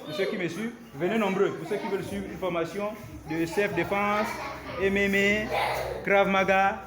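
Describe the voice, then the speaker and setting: A man coaching self-defense, speaking casually and haltingly to his followers from a karate dojo and repeating words as he goes. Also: high voice. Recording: loud crowd noise behind. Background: crowd of young students.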